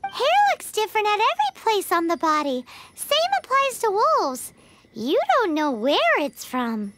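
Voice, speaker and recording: A woman voicing a tiny, excitable fairy companion in a video game, with a squeaky, childlike, cutesy delivery. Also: a little slow, over music, heavily acted. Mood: curious. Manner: cutesy, exclamatory. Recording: game capture with loud background noise.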